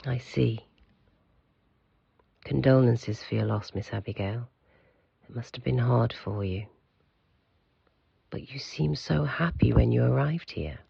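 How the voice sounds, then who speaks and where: An actor playing the stern, secretive head of a mysterious institute in an audio drama, speaking formally and with control in a gravelly voice. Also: male voice, medium pitch, slow, long pauses, warm tone, no echo, dull audio, exaggerated voice. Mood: cool, formal.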